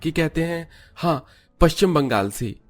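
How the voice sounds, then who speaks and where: A man's voice: on the high side, lively intonation, unhurried. A man voicing an earnest young Punjabi son, coaxing and reassuring, in a cartoon story. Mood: hopeful.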